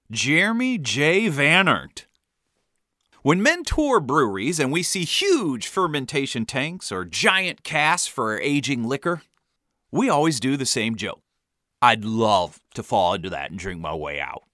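A highly animated American man narrates a wry comic memoir. His pitch swings widely and his tone is bright and punchy. The sound is dry, with a theatrical storyteller's delivery. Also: unhurried, dry recording. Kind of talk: scripted comedic memoir narration.

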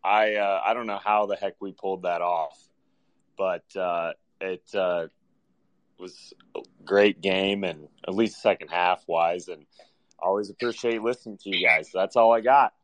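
Low, slightly hoarse man, halting delivery. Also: fairly even intonation, dry recording. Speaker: caller to a podcast. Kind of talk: casual chat.

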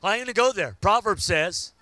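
High bright-voiced preaching man in his fifties. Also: expressive, brisk. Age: 50s. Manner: preaching with rising shouts and call-outs to the crowd. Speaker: pastor. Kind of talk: sermon.

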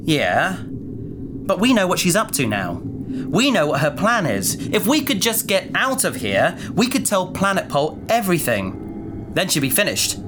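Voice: medium pitch, wildly swooping pitch, measured pace, noisy background. An actor playing a caring, practical space adventurer in a sci-fi audio drama, with an earnest, reasoning way of talking. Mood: arguing, exasperated.

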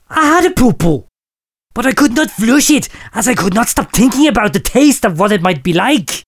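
Man with a lively, swooping voice. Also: sits high, creaky. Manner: drawn-out, preacherly delivery breaking into laughter. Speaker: podcast host reading listener letters. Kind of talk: mock-solemn comic letter reading.

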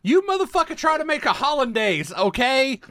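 High, bright-voiced man ranting profanely. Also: big pitch swings, moderate pace, no room sound, studio quality. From Utah. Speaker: podcast host. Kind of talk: casual chat.

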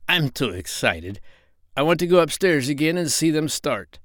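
A man's voice: fairly high pitch, big pitch swings, moderate pace, a bit bright, dry recording, clean studio sound, voice pushed up. Storybook pig: young, fussy, eager, voiced by a male narrator. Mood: excited.

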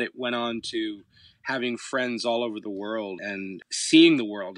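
A middle-aged Canadian musician with a fairly low voice, answering interview questions in a reflective, storytelling way, with some hesitant pauses. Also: male voice, a bit bright, dry recording. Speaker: musician guest.

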